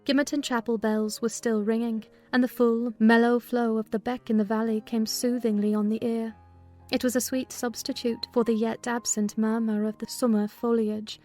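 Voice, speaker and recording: A woman playing an observant, composed housekeeper who narrates a literary story in a measured, descriptive way. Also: fairly high pitch, darkish tone, close to an everyday voice. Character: female housekeeper recounting events, composed and observant servant. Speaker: narrator in a dramatized novel reading.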